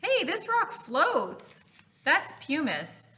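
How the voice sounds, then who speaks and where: A woman voicing an excitable young schoolchild from a storybook, anxious and cheeky, exclaiming in short lines. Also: high voice, very slow, voice pushed up, heavily acted. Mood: amazed.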